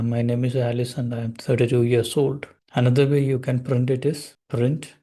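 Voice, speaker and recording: A young man, slowly explaining code step by step as he types in a tutorial. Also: medium pitch, mellow. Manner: slow, step-by-step explaining while typing code. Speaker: programming tutor. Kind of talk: screen-recorded coding tutorial.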